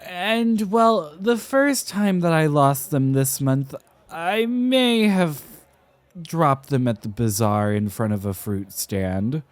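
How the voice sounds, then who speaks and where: A man playing a flustered, dramatic, tipsy young scholar in an ASMR roleplay, enunciating carefully with halting, theatrical flourishes and an affectionately defensive temper. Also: a little high, animated, dry recording. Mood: dreamy, indulgent. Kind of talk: ASMR roleplay, acted one-sided conversation.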